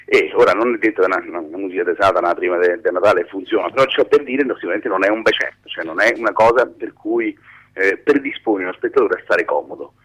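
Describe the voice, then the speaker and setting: A man's voice: low voice, quick pace, fairly bright tone, breathy edge, poor audio. A man giving an informal radio phone interview as a writing teacher, rambling and often interrupting himself as he explains. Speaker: screenwriting teacher being interviewed.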